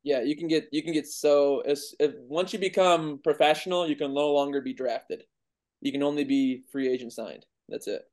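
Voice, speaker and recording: A man's voice: on the high side, normal speed. A man in his twenties, a baseball player, talking casually as a podcast guest, loose and rambling, often saying "like". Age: 20s. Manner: relaxed, rambling, heavy use of "like".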